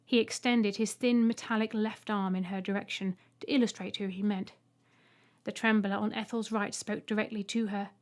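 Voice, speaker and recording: A woman's voice: mid-range voice, level tone, normal speed. A woman reading a scripted short story aloud as an audiobook narrator.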